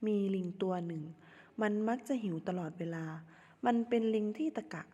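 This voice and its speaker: A woman's voice: mid-range voice, moderate pace, warm tone, husky, dull audio. A young woman student narrating a children's story in short, simple phrases, in a reverberant hall. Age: young adult.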